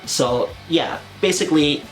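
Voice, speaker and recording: A man's voice: on the high side, a little slow, soft background music, low-quality recording. A man in his thirties from Canada, with a Canadian accent, chatting casually and rambling with lots of "like" and "you know". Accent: Canadian English. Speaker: anime vlogger. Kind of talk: casual vlog chat. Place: in Japan.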